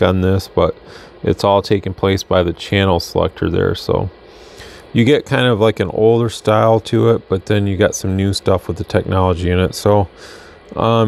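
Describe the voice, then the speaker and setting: A man's voice: on the low side, creaky, a little mellow. An American man giving a casual product demo as a reviewer, talking in a rambling, conversational way. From Michigan, United States. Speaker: radio reviewer.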